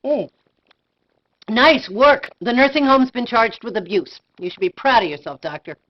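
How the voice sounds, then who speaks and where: Sarcastic, animated hospital woman. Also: fairly high pitch, moderate pace. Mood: sarcastic, indignant. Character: sarcastic, scolding female hospital supervisor. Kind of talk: acted medical drama dialogue. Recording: dull lo-fi game video.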